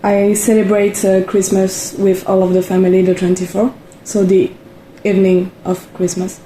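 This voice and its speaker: A woman's voice: medium pitch, monotone, moderate pace, dark tone. A woman in her early twenties with a French accent, answering casually in an interview. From France. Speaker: interviewed volunteer. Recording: echoey room, faint ambient noise.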